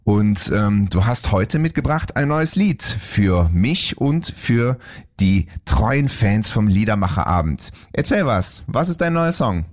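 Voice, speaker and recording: A low-voiced man hosts a radio interview in a studio, asking his guest friendly, engaged questions. His pitch climbs noticeably whenever he poses a question. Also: big pitch swings, slow. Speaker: radio host.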